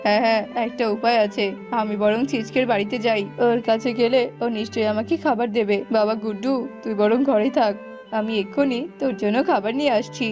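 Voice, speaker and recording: A woman's voice: fairly high pitch, fairly even intonation, brisk, natural voice. A woman voicing a poor, sorrowful, tender jackal father with a plaintive, exclamatory cartoon delivery. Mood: earnest. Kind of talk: cartoon voice acting. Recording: muffled, music underneath.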